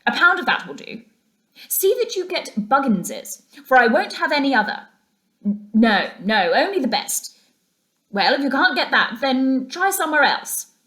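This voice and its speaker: A hearty storybook rat, put on by a woman reader, bossy and capable. He gives orders briskly and is particular about what he wants. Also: sits high, sing-song, sharp tone, voice pushed up, full character voice. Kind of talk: children's storybook character voice.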